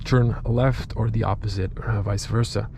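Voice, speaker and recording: Croatian-accented man with a creaky voice. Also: lowish voice, fairly even intonation, moderate pace, dark tone. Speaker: car reviewer. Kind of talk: casual point-of-view car review commentary. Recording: clear vehicle and ambient noise. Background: car and ambient noise.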